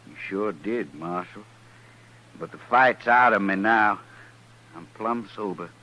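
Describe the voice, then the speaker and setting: An actor playing a plain-spoken, chastened cattle drover with a rural western American drawl, folksy and sheepish, in old-time radio style. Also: male voice, on the low side, slow, dull audio. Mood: rueful. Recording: noisy, humming vintage radio recording.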